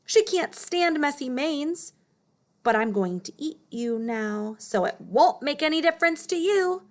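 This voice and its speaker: Woman voicing a loud, bossy storybook lion. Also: sits high, expressive, a little slow, pitched above the performer's own voice. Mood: fretful. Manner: yelling, exclamatory, demanding. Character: angry, vain male lion, bossy and fretful. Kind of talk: children's storybook character voice.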